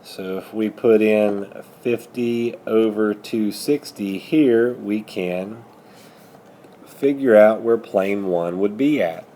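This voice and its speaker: A man's voice: on the low side, slow pace, mellow, a little hiss or hum. A man working methodically through a math lesson as a teacher, patiently prompting students with questions. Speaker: high school algebra teacher.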